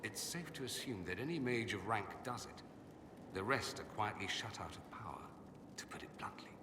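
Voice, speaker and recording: An actor playing a vain, sardonic young aristocratic mage in a video game, with a suave, theatrical and wry delivery. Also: male voice, on the low side, lively intonation, normal speed, echoey room, in a large hall, background noise, lightly acted. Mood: wry, explaining. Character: witty, vain aristocratic male mage, sardonic rebel exile. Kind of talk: video game dialogue acting.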